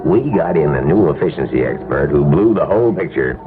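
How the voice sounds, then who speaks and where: A man's voice: very deep voice, lively intonation, a little fast, music in the background. An actor playing a glib, slangy, evasive middle-aged businessman with a breezy, blustering way of talking, in a 1970s radio drama. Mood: dismissive, glib. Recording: tinny old radio broadcast recording.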